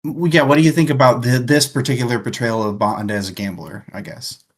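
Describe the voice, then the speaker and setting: A man's voice: medium pitch, brisk. A man hosting a casual livestream book discussion, searching for words as he goes. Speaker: show host, reads viewer comments.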